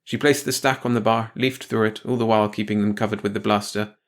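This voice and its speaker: A man's voice: fairly low pitch, steady tone, fast. A man reading a short story aloud as scripted audiobook narration.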